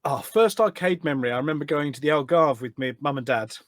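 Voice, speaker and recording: A man's voice: fairly high pitch, quick pace, dry recording, clean studio sound. A middle-aged British man chatting casually as a podcast guest, rambling and correcting himself as he reminisces. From United Kingdom.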